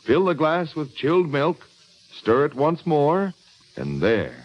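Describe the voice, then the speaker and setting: Animated vintage American radio pitchman. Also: slow. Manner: polished, persuasive sales delivery. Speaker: commercial announcer. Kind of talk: 1940s radio advertisement read. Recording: dull, band-limited vintage radio recording.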